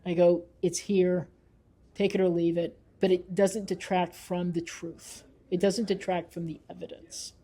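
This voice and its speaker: A woman's voice: lowish voice, level tone, unhurried, dark tone, breathy edge. A woman being interviewed as a researcher, talking conversationally and emphatically, often acting out little exchanges as she explains.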